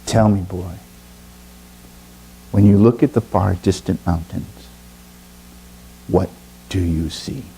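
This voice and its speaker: Brief: man voicing a commanding old bird, deep and slow. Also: vocal fry, slight background noise, exaggerated voice, rough recording. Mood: probing. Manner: low, quiet, commanding. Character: stern female bird, old and wise.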